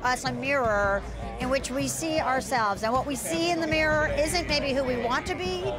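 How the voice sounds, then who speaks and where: A woman's voice: high voice, normal speed, over loud music. A woman, a TV producer giving a thoughtful, articulate interview. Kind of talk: red-carpet interview.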